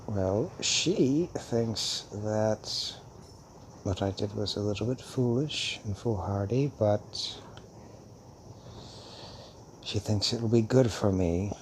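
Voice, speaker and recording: A man playing a calm, fatherly old vampire mentor in an intimate audio roleplay, musing hesitantly with many pauses. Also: lowish voice, slight background noise. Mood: musing, reflective.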